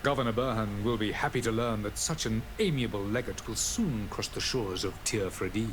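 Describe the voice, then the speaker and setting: A man's voice: on the low side, fairly quick, background noise, natural voice. A man playing a stern yet gracious dignitary in a video game, speaking formally and courteously.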